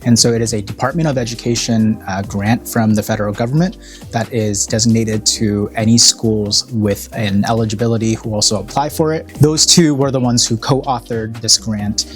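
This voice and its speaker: A man born in Korea speaks in an interview as a college program director, his voice sitting on the low side. He talks earnestly and thoughtfully, often pausing with fillers. Faint music plays underneath. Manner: earnest, reflective, many fillers. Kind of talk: interview talking about an event.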